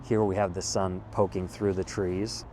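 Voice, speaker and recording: Deep-voiced American man musing outdoors. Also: little pitch movement, dark tone, slight background noise. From California, USA. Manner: thoughtful, measured, with pauses and restarts. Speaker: vlogger. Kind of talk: casual reflective monologue. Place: outdoors in a wooded city spot.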